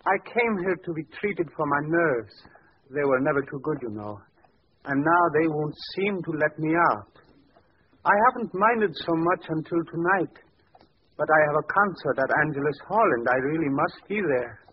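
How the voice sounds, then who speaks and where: An actor playing a pompous, nervous sanitarium patient with grandiose formal speech, in 1940s radio style. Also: male voice, fairly high pitch, expressive, normal speed, a little hiss or hum, close to an everyday voice. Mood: grandly pleading. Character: pompous, nervous male mental patient, self-declared famous organist. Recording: thin phone-like old radio recording.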